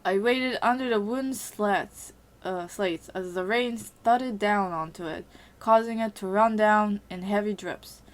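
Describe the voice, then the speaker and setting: A woman's voice: medium pitch, unhurried. A woman, an amateur voice actor, reading first-person visual novel narration steadily, with small slips and restarts.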